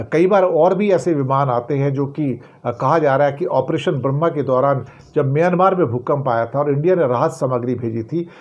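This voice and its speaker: A man's voice: fairly high pitch, a little mellow, highs cut off. A man giving explanatory news commentary to the viewer in an emphatic way, posing rhetorical questions and repeating key phrases.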